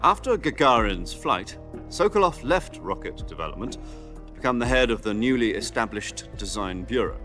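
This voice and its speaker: An actor playing a seasoned, stern military commander who gives measured, authoritative briefings in a cinematic video game. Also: male voice, medium pitch, sing-song, pushed and bright, soft background music.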